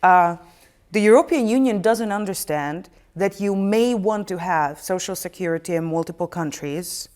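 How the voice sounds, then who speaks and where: A woman's voice: fairly low pitch, moderate pace, a little mellow, clean tone. A woman hosting a studio panel talk show, asking probing questions with a wry edge.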